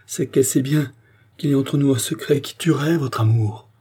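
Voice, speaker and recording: Man voicing an anguished, imperious queen, husky and heightened. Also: medium pitch, moderate pace, mellow, pitched above the performer's own voice, close to an everyday voice. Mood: bitter pain. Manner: breathless, imperious, emphatic. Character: proud, jealous, anguished queen, imperious and feverish. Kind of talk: dramatic audiobook character acting.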